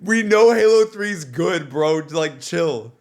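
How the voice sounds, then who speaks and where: A man's voice: fairly high pitch, lively intonation, slow pace. A young adult man chatting casually on a livestream, rambling enthusiastically about gaming in a self-deprecating way. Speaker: streamer.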